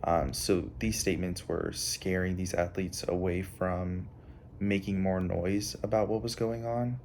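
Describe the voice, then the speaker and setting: A young man giving a prepared analysis as a student presenter, halting often with filled pauses. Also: low voice, flat delivery, a little mellow, slight background noise. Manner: halting, hesitant delivery with frequent filled pauses.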